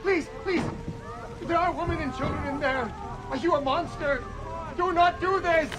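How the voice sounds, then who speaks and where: An actor playing a frightened villager in a video game, desperately pleading in panic. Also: male voice, very high voice, moderate pace, fairly bright tone, some chatter behind, poor audio. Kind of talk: dramatic video game acting.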